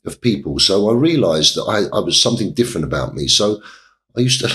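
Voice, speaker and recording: Deep-voiced man telling his life story. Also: expressive, a bit bright. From England. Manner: halting, many fillers and restarts. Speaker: interview guest, property developer. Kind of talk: reflective storytelling in an interview.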